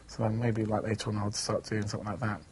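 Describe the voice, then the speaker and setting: A man's voice: fairly low pitch, monotone, quick pace, darkish tone, a little hiss or hum. A young man in an interview, talking casually with giggles and hesitations. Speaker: amateur stripper interviewee.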